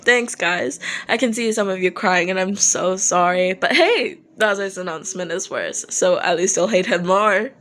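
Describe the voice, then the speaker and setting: A woman's voice: on the low side, normal speed, few pauses, fairly bright tone, natural voice. A woman voicing a blunt, foul-mouthed but apologetic male rock singer in a fan fiction reading. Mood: earnest. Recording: noticeable background noise.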